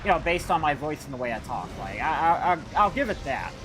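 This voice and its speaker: A young man with a high, youthful voice that moves up and down a lot as he talks. He is livestreaming a game, rambling informally and swearing freely. Music plays clearly behind him. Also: sing-song, fairly quick. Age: young adult. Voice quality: youthful-sounding voice. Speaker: livestreamer playing a video game. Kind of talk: casual gaming commentary and chat.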